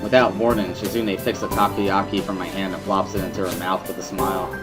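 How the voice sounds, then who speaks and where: A man's voice: deep voice, little pitch movement, fairly quick, lightly acted. A man playing a reflective, easygoing schoolboy who narrates a dramatic reading in the first person. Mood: wry, amused. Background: music.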